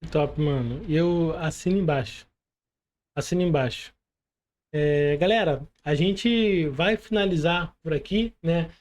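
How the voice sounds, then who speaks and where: A man's voice: on the high side, slow, darkish tone. A Brazilian man hosting a casual podcast, speaking colloquially to the audience as friends and often adding little tag questions. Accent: Brazilian Portuguese. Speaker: podcast host.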